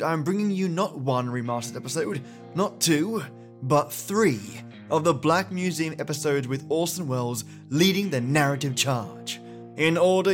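A man introducing a podcast as a playful storyteller with a theatrical scripted delivery. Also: on the high side. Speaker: podcast host. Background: plucked guitar music.

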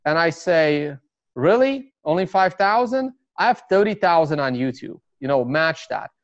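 A man's voice: fairly high pitch, big pitch swings, normal speed, no room sound. A man from Russia with a Russian accent, a native Russian speaker, talking as an interview guest in a rambling way, full of fillers and restarts. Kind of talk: casual interview talk. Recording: dry, slightly dull sound.

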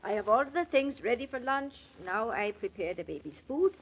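An actress playing a stubborn, devoted, hard-working housekeeper in a 1940s radio drama, husky and plain-spoken. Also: female voice, fairly high pitch, animated, normal speed, rough recording. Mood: modest, busy.

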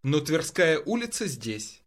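A man giving careful, measured answers in language drills, repeating each phrase. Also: on the high side, big pitch swings. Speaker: model speaker in language drills.

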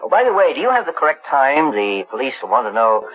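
An actor playing a calm, authoritative gentleman detective in a measured, formal 1940s radio mystery style. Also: medium pitch, breathy edge. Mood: probing. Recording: muffled, dull 1940s radio recording.

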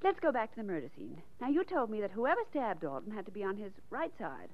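An actress in a 1940s radio drama playing a detective's eager, admiring secretary, inquisitive and coy. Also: female voice, medium pitch, huge pitch swings, quick pace. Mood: curious, eager. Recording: muffled vintage 1940s radio recording.

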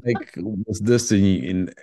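A man's voice: sits low, takes it slowly, dark tone. A man from the Democratic Republic of Congo, a podcast guest, talking earnestly and rambling through rhetorical questions. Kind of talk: casual interview chat.

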